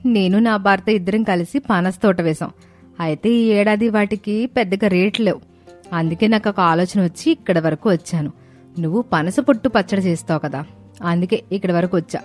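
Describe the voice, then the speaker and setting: A woman's voice: medium pitch, normal speed, darkish tone, soft background music, lightly acted. Woman acting an eager, persuasive young friend.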